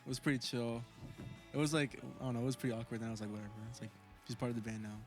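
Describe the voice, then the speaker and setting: A teenage guy in the band talks easily and casually, tossing in fillers and bro. His voice sits at an ordinary pitch, with faint music behind him. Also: steady tone, very fast. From Portland, Oregon. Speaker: band drummer. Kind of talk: casual interview chat.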